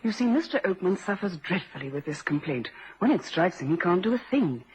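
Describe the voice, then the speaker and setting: An actress playing a prim, loyal and defensive secretary in a vintage radio crime drama, speaking formally and precisely. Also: female voice, lowish voice, sing-song, brisk, darkish tone. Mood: composed. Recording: dull, noisy vintage radio recording.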